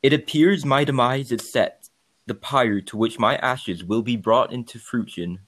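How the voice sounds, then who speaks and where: A man's voice: fairly low pitch, low-quality recording. A man voicing a bitter, grieving creature in a formal, eloquent dramatic reading of its last testament. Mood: mournful, bitter. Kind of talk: dramatic reading of a written account.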